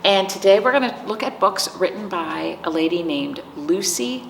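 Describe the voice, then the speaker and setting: A woman's voice: mid-range voice, lively intonation, moderate pace, vocal fry. A woman hosting storytime, reading picture books aloud to young children with simple explanations and questions. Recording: roomy, distant mic, faint noise.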